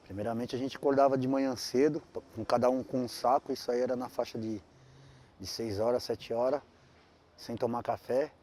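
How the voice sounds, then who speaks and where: A man tells his life story in a documentary interview. He speaks haltingly, often restarts his phrases and ends many of them with tag questions. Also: mid-range voice, fairly even intonation, dark tone, a little hiss or hum. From São Paulo, Brazil. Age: 40s.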